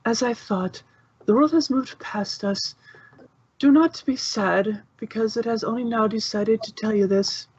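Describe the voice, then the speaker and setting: A woman's voice: a little high, unhurried, darkish tone, slightly rough, dull audio, pitched above the performer's own voice. A woman voicing a blunt, regal pony princess who speaks formally and brusquely in a storybook reading. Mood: stern, regal.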